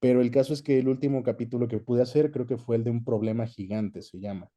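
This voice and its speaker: A man's voice: mid-range voice, steady tone, quick pace, warm tone. A man with a Mexican accent chatting casually as an interview guest, recounting his experience. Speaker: guest, dubbing actor and director.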